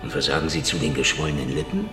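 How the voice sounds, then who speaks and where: An actor playing a cynical, menacing Soviet secret-police investigator in a radio crime drama, with sardonic, deadpan wisecracks. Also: male voice, very deep voice, fast, music in the background, full character voice. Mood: skeptical. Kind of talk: hardboiled radio crime drama, acting and narration.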